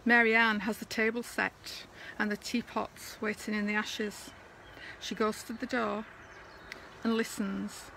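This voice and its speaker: A woman's voice: mid-range voice, flat delivery, measured pace, creaky, bright, forceful tone, slight background noise, low-quality recording. A woman reader giving a dramatic recitation of poetry.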